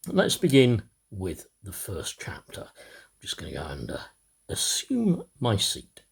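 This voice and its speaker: A middle-aged man reads a children's story aloud in a fairly low voice. His pitch swoops widely from phrase to phrase. He takes his time, with pauses and expressive storytelling. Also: vocal fry, in a whisper. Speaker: amateur reader.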